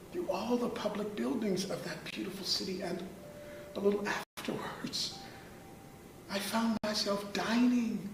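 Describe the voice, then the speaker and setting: A man playing an impassioned American abolitionist, giving an oratorical dramatic reading of his letter with pauses and swells. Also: sits high, vocal fry, slight background noise, heavily acted. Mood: grateful wonder. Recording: roomy, distant microphone, music.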